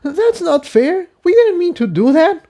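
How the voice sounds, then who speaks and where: Casting: young winged mare, played by a man, very high pitch, earnest, cautious and pleading, storybook fantasy. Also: big pitch swings, a little slow, mellow, heavily acted. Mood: upset, pleading. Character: timid, earnest young female pony with wings.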